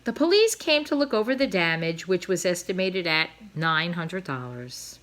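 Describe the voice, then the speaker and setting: Bright-voiced woman reading a storybook aloud. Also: lowish voice, big pitch swings, moderate pace. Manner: dramatic, with emphasized sound words and brief asides. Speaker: storybook reader. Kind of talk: expressive read-aloud of a children's novel.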